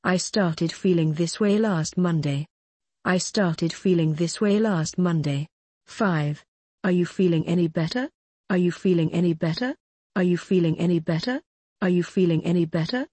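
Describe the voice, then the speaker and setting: A woman's voice: fairly low pitch, normal speed, darkish tone, slightly rough, no echo. A woman teaching English phrases in a drill, speaking carefully and repeating each phrase several times. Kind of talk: language drill narration.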